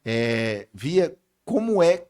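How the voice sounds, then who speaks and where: A man's voice: fairly high pitch, very animated, extremely slow, darkish tone, smooth. A Brazilian man answering questions in a conversational way, as a political candidate being interviewed.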